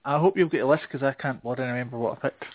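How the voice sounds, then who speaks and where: A man's voice: medium pitch, racing, no room sound. A man chatting casually on a gaming podcast in a hesitant, rambling way, over a thin phone-like connection. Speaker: show host taking a turn as guest. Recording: thin phone-like internet radio sound.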